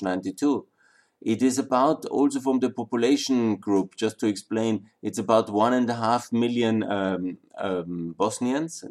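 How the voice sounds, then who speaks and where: A man from Austria with an Austrian German accent, lecturing an audience in a halting way with many fillers and restarts. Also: lowish voice, darkish tone. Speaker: speaker on Balkan history.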